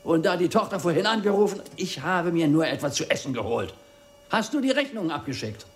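A man's voice: high-pitched, lively intonation, brisk. An actor playing a formal, deferential, fussy male undertaker in a radio drama, often defending himself. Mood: impatient, uneasy. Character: prim, defensive male undertaker confessing his secret. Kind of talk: German radio drama acting.

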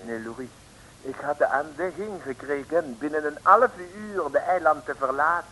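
A man's voice: fairly high pitch, normal speed, sharp tone, slightly rough, low-quality recording. An actor playing a flustered, grateful French policeman with a French accent in a 1950s Dutch radio serial, effusive and formal. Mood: relieved, flustered. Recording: hissy old radio recording.

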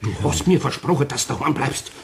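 A man's voice: mid-range voice, quick pace, natural voice. An actor playing a good-hearted, grumbling older craftsman with a Bavarian accent in a radio play. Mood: stern, determined.

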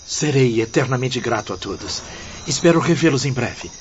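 Radio-play spirit: man acting grateful and reverent, mid-pitched, Brazilian accent, earnest, insects audible behind. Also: expressive, normal speed, natural voice. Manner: earnest, formal. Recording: dull lo-fi audio, clear insect noise.

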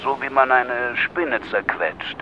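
An actor playing a menacing, foul-mouthed crime boss in a radio crime drama, sardonic and domineering. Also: male voice, mid-range voice, lively intonation, takes it slowly. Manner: sneering, domineering. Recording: dull band-limited phone voice, noisy background.

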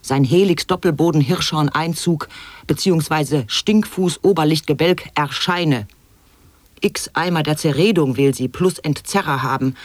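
A man's voice: a little high, moderate pace, dry recording, natural voice. An actor playing an eccentric man who declaims absurd wordplay in staccato lists for an experimental radio drama. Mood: haranguing.

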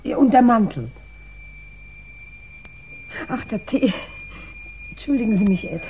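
A woman's voice: fairly high pitch, expressive, a little slow, highs cut off. An actress playing a worried, solicitous woman sheltering a friend in a 1960s German radio play. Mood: caring, insistent. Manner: solicitous, concerned, polite. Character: anxious, caring woman sheltering a fugitive friend. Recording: slightly noisy 1960s radio recording.

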